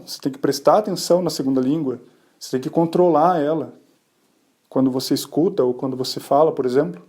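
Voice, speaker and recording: Male podcast host, relaxed mellow tone, slightly higher voice, chatty explainer who answers his own rhetorical questions. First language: Portuguese. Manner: tag questions and fillers. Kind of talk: casual science explainer, speaking to listeners.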